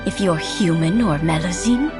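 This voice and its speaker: A woman voicing a kind, grateful old lady in a video game, gentle, deliberate and calmly knowing. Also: fairly low pitch, lightly acted. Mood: tender, grateful. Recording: music behind the voice.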